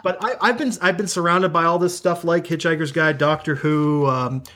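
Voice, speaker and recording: A man's voice: a little high, fairly even intonation, normal speed, pushed and bright. A man chatting as a podcast guest, rambling off on tangents with self-mocking humor. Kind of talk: casual podcast chat.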